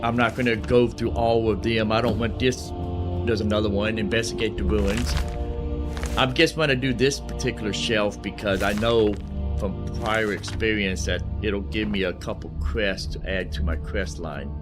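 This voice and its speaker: A man with a fairly low voice gives casual let's play commentary. He talks informally and explains as he goes, with game music clearly audible behind him. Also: fairly even intonation, normal speed. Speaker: gaming video host.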